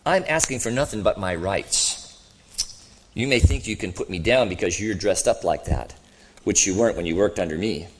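A man's voice: on the low side, expressive, normal speed, breathy edge. A man voicing a sulky, belligerent ghost of a working-class boss in a storybook reading, blustering and self-righteous. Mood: indignant.

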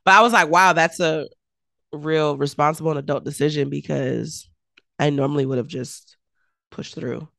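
A woman in her thirties chats casually as a podcast co-host, her voice low and hoarse from a cold. The sound has a gravelly, fried edge, and the recording is close and dry with no room around it. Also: steady tone, normal speed, fairly bright tone, no echo. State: sick, low and raspy voice. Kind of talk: casual chat.

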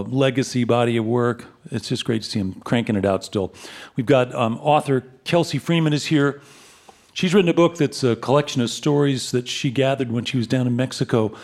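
Energetic male host announcing on stage. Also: mid-range voice. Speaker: radio show host. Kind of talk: enthusiastic stage introduction. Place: live concert hall with audience.

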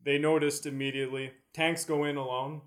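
A man's voice: on the high side, flat delivery, brisk, fairly bright tone. A Canadian man in casual podcast conversation, explaining things step by step through examples. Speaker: podcast co-host.

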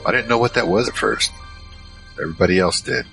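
A man playing a naive young man recounting his adventures in an informal, halting way in an audio drama. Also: on the low side, vocal fry, a bit bright, faint music behind, poor audio. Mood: recounting, casual. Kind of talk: audio drama first-person narration.

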